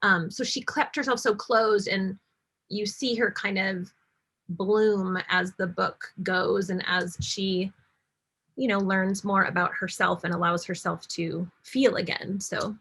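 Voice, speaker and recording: A woman hosting a casual book club chat with a bright, slightly breathy voice. She rambles through her points with likes and ums. Her voice comes through a video call. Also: medium pitch, fairly even intonation, slow. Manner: chatty, filler-heavy.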